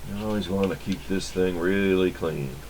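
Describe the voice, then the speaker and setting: Deep-voiced man at a workbench. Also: fairly even intonation, a little mellow, a little hiss or hum, low-quality recording. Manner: unhurried, muttering to himself while working. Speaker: electronics repair hobbyist, channel host. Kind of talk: casual hands-on workbench demonstration. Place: indoor electronics workbench.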